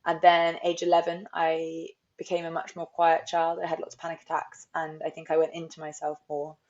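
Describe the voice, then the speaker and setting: A woman's voice: low voice, monotone, brisk, darkish tone. A young woman from London with a London accent, an author talking reflectively in an interview, often restarting her sentences. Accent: London English. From London, England. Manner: thoughtful, rambling with restarts. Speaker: author guest. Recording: slightly dull, lo-fi audio.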